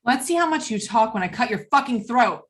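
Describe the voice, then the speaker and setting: A woman's voice: a little high, expressive, brisk, fairly bright tone. Menacing, volatile woman, played by an actress. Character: menacing, volatile knife-wielding woman, horror film gang member. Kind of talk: film script table read.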